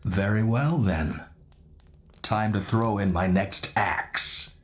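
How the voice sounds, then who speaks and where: A male actor playing a calculating company chief in a game cutscene, speaking formally and with measured assurance. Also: lowish voice, slight background noise. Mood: cool, calculating. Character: smooth-talking, calculating male insurance company chief executive. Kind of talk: scripted interview in game cutscene.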